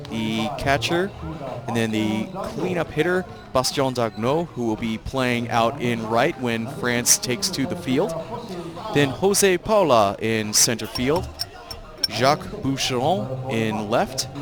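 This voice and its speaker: A man from the United States calling a baseball game live, reading out the lineups carefully and apologizing for his pronunciation of the names. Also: mid-range voice. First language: English. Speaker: play-by-play commentator. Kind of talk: live sports commentary. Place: outdoor ballpark broadcast.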